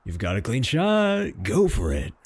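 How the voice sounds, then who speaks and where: A man playing a clingy, cocky male werewolf in a comedic roleplay audio drama, boastful, sarcastic and pleading, with a husky voice. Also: medium pitch, huge pitch swings, unhurried, no echo, full character voice. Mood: taunting.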